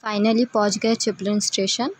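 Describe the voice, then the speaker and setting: A woman's voice: medium pitch, flat delivery, normal speed, noisy background. A woman narrating a casual travel vlog in a chatty way, speaking directly to her viewers. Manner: chatty, addresses viewers as friends. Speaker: travel vlogger.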